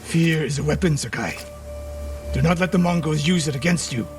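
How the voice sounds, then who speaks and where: An actor playing a stern, proud older samurai archery master in a video game, speaking in a measured, haughty, sardonic way. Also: male voice, on the high side, natural voice. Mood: grave, measured. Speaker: archery teacher. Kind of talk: dramatic video game acting. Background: background music.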